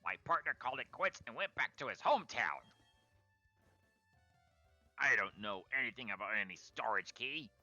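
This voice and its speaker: A man's voice: mid-range voice, lively intonation, normal speed, long pauses, bright, forceful tone, dry recording, lo-fi sound, soft background music, full character voice. A man voicing a bitter male villain-gang underling who holds a grudge, sneering as he reads game dialogue aloud.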